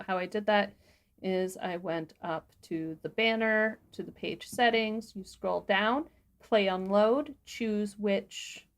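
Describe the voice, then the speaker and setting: A woman co-hosting a livestream, giving a casual step-by-step software walkthrough. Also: mid-range voice. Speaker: livestream co-host and tutorial presenter; sound designer.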